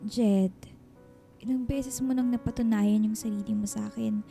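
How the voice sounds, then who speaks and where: A woman's voice: fairly high pitch, steady tone, normal speed, vocal fry, dark tone, faint music behind. An actress playing a grateful, hesitant single mother in a Filipino radio drama, pleading and emotional. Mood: gentle, reluctant.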